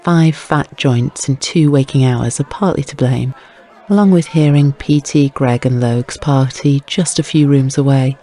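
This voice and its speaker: Guy reading a crime audiobook, lots of fry, mellow tone, wry storytelling. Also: mid-range voice, unhurried, some chatter behind. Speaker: audiobook narrator. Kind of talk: scripted crime fiction narration.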